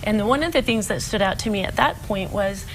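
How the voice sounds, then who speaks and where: A woman guest on a TV interview telling a story, warm and a little emotional. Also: medium pitch, a little fast, slight background noise, poor audio.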